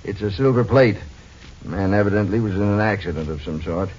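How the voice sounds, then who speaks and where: An actor playing a grudging, skeptical city medical examiner in an old-time radio drama, questioning and matter-of-fact. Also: male voice, lowish voice, brisk, darkish tone, a little hiss or hum, natural voice. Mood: reluctant, matter-of-fact. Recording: dull, muffled old radio recording.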